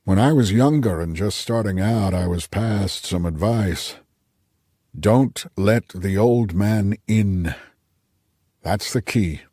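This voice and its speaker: A man's voice: fairly low pitch, takes it slowly, dark tone, husky, no echo. A spry man of almost ninety , acted out by a male audiobook narrator. He is genial and talkative, with a wry streak, and the sound is close and dry. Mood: wry, cheerful. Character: spry, cheerful old man near ninety, amateur painter.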